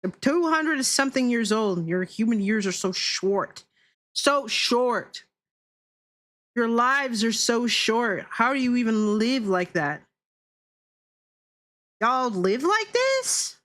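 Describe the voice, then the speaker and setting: A woman's voice: a little high, big pitch swings, takes it slowly, pauses often, fairly bright tone. A woman streamer chatting casually while she draws, with a teasing, playful way of talking. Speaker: VTuber streamer. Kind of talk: casual livestream chat while drawing.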